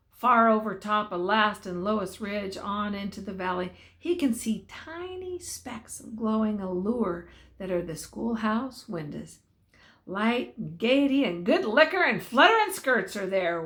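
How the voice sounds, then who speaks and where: Bright-voiced older woman reading a story. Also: medium pitch. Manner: folksy, dramatic emphasis, drawn-out key words. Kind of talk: animated read-aloud story narration.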